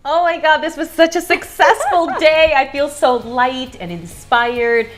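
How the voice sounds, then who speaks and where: A woman hosting a show, talking casually in an effusive, expressive way. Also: high voice, a little slow. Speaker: cooking show host. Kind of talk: casual lifestyle show chat. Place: home kitchen, indoors. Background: background music.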